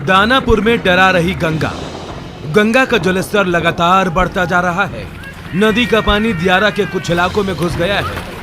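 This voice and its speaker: A man's voice: sits high, normal speed. A man reading a scripted television news report as a voice-over. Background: music.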